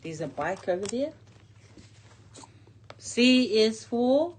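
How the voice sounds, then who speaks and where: A woman's voice: fairly high pitch, very animated, slow, a little hiss or hum. A middle-aged woman reading a picture book aloud to a young child in simple short phrases, drawing out key words and pointing things out with questions.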